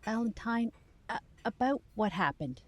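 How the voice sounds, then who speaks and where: A woman's voice: a little high, expressive, measured pace. A woman playing a concerned, questioning girlfriend in a supernatural audio drama, earnest and probing. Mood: uneasy.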